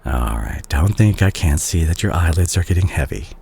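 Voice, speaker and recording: A man playing a remorseful, tender doctor and ex-boyfriend in an intimate roleplay audio drama, speaking softly and hesitantly with a husky voice. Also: deep voice, very animated, slight background noise, full character voice. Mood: tender, soothing. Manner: hesitant, soft-spoken, halting.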